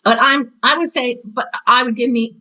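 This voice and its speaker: Woman, 60s, interview guest. Bright, higher-pitched voice, casual and opinionated delivery. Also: moderate pace, lo-fi sound. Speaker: guest, retired teacher and author.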